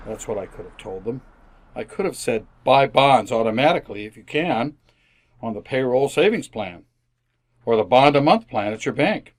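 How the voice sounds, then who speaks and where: A man's voice: mid-range voice, expressive, normal speed, close to an everyday voice. Man acting earnest, flustered old-time radio dad. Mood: rueful, wistful. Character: flustered, earnest family man, wistful and eager to please. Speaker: amateur radio actor. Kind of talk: re-created 1950s radio sitcom acting.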